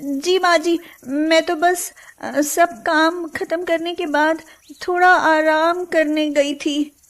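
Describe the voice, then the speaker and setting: A woman's voice: extremely high pitch, steady tone, slow. A woman voicing a meek, frightened young daughter-in-law in a cartoon story, hesitant and deferential. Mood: timid. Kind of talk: cartoon moral-story voice acting.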